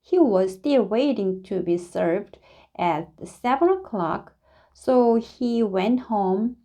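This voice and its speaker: A woman reading a children's story aloud in an expressive, deliberate way, phrasing it dramatically for young listeners. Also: mid-range voice. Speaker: storybook reader.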